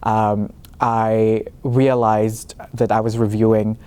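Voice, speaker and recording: A man's voice: lowish voice, little pitch movement, slow pace, a little mellow. A young man from the Philippines, a master's student, talking about his program in a casual, hesitant way with many fillers and restarts. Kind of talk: casual talk to camera.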